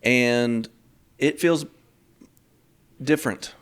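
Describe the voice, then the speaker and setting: A man in his thirties, co-hosting a podcast in relaxed, rambling chat, laughing often. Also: mid-range voice, extremely slow, smooth. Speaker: podcast co-host. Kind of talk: casual chat.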